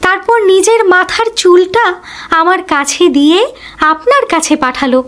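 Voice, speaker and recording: A woman's voice: very high-pitched, lively intonation, moderate pace, fairly bright tone. A woman playing a brave, humble young girl in a fairy-tale cartoon, speaking politely and earnestly. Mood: pleading.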